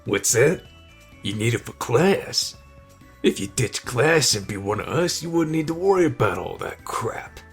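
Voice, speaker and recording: Man acting out a husky cartoonish teenage school bully. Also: medium pitch, expressive, a little slow, faint music behind. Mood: taunting. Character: cocky teenage school bully who turns cowardly and grovelling. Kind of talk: anime fan audio roleplay.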